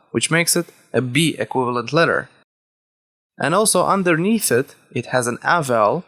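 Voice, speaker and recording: A man who is a native Hebrew speaker, teaching a language lesson step by step, deliberately and with key words repeated. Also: medium pitch, a bit bright. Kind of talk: instructional lesson, explaining step by step.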